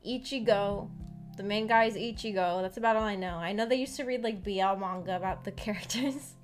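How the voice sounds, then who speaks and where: A woman's voice: mid-range voice, fairly quick. A woman streamer chatting casually with her viewers, rambling and thinking aloud in a giggly way. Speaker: streamer hosting a visual novel book club. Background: background music.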